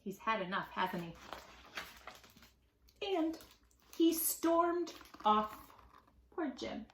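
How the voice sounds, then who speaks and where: High, lively woman reading to children. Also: very slow, echoey room, slight background noise. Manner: addresses children directly, expressive, emphatic. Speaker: children's read-aloud host and tutor. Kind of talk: animated picture-book reading for young children.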